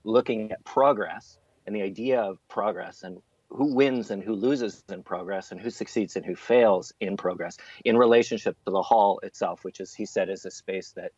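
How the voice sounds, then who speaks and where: A man's voice: low-pitched, fairly even intonation, fairly quick, a little mellow, breathy edge. A man being interviewed as a theatre artist, talking in an earnest, discursive way with emphatic repetition. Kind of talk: thoughtful interview talk. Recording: dull, roomy, lo-fi sound.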